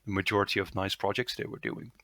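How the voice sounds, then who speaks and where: A man's voice: lowish voice, steady tone, fast talker, fairly bright tone. A man in his thirties from the Netherlands with a Dutch accent, talking through a headset on a video call, hesitant with many fillers and restarts. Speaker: guest, lead software developer. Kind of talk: casual interview chat.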